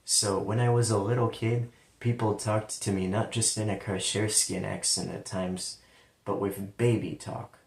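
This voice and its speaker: Ohio-accented man, lowish voice, casual rambling storyteller, occasional W-for-L slip, sits back from the mic. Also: fairly even intonation, a little slow, gravelly. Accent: central Ohio. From London, Ohio, USA. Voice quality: sometimes turns L into a W sound. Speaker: vlogger telling his life story. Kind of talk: personal storytelling monologue. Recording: roomy, distant from the mic.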